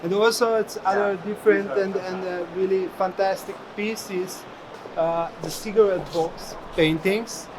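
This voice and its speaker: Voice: mid-range voice, fairly even intonation, unhurried. Gallerist, noisy hall. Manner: storytelling, explanatory. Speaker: gallerist presenting an artist's work. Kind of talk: informal spoken explanation at an art fair. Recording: noisy fair hall background. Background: ambient fair hall noise.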